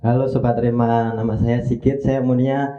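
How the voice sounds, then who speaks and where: A man's voice: mid-range voice, level tone, moderate pace. A man, a jokey, easygoing podcast guest. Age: 30s. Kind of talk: casual chat. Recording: dull mic with faint music.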